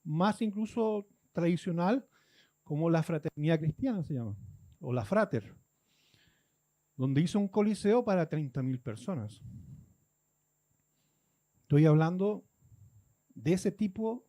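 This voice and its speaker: A man's voice: a little high, expressive, unhurried, long pauses, warm tone. A man from Guatemala, preaching a conversational sermon and acting out churchgoers' complaints.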